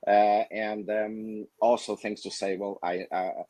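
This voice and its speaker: A man's voice: lowish voice, flat delivery, slow, mellow, dry recording. A man from Portugal with a Portuguese accent, a company founder introducing himself hesitantly on a webinar panel. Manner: hesitant, many fillers.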